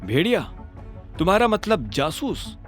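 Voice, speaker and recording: A man's voice: high voice, big pitch swings, unhurried, faint music behind. Man playing a terse, cool young hero. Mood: probing.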